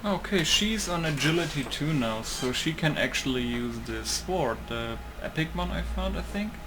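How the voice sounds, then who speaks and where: A man's voice: fairly high pitch, expressive, normal speed, background noise. A man giving casual let's play commentary, thinking aloud in a musing way. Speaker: gaming YouTuber.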